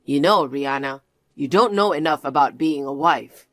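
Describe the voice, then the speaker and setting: A woman's voice: low voice, moderate pace, no echo, voice pushed down, close to an everyday voice. Woman voicing a scornful, arrogant husband. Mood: condescending. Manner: cold, scornful lecturing. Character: arrogant husband, dismissive young man. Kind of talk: one-voice drama reading.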